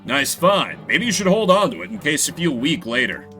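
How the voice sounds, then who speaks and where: A man voicing a calm, polite, protective older mouse brother in video game dialogue, with formal, measured phrasing. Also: on the high side, soft background music, exaggerated voice. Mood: reassuring.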